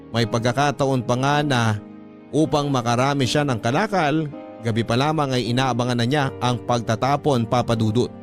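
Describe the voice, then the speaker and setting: A Filipino man narrating a humble life story as an earnest grown child in a radio drama, measured and sentimental. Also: medium pitch, natural voice. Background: instrumental music.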